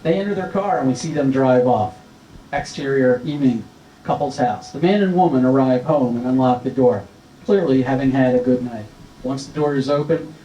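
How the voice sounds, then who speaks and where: A man's voice: medium pitch, warm tone. A man reading screenplay stage directions aloud as the narrator at a table read. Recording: roomy echo with clear background noise.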